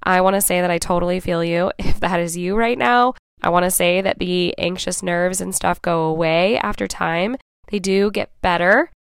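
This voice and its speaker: A woman hosting her own podcast in a casual, chatty way, hoarse and raspy from a cold, often checking in with "right?" and "okay?". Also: fairly low pitch, no echo, clean studio sound. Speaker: podcast host. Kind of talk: casual solo podcast talk.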